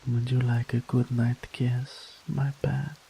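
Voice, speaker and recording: A man voicing a doting, possessive male incubus demon in an ASMR roleplay audio, speaking softly and slowly in a whispery, pampering way. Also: mid-range voice, flat delivery, vocal fry, slight background noise, low-quality recording. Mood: doting, tender. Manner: soft, slow, whispery and pampering.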